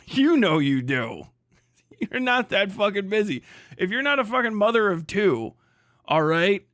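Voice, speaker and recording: A man's voice: high voice, very animated, a little slow, fairly bright tone, no echo, studio quality. A man on a casual livestream rant, blunt and hectoring, swearing heavily as he speaks. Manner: mocks others in put-on voices. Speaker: livestream host.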